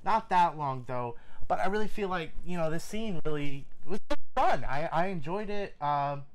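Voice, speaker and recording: A man's voice: fairly high pitch, lively intonation, moderate pace, low-quality recording. A man co-hosting a casual movie review, talking enthusiastically and repeating phrases for emphasis. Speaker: film review co-host.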